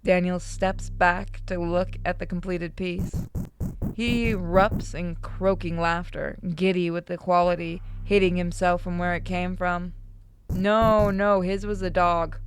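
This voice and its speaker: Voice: mid-range voice, smooth. A streamer narrating a horror visual novel aloud and breaking off for casual asides.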